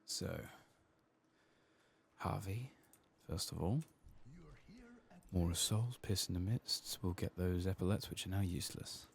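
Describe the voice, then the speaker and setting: A man giving soft-spoken ASMR commentary while playing a game, murmuring to himself on a close microphone. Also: low voice, slow pace, creaky, long pauses. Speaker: gameplay streamer.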